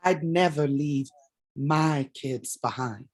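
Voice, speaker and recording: Woman acting low-voiced, wounded, strong-willed mother. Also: slow pace. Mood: indignant, skeptical. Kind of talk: staged play reading.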